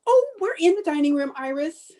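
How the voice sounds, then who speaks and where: A scatterbrained but stubborn housewife played by an actress in an old radio comedy. The voice is mellow and swings widely in pitch, with cheerful, theatrical emphasis. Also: female voice, big pitch swings, measured pace, slightly rough. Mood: blithely confident.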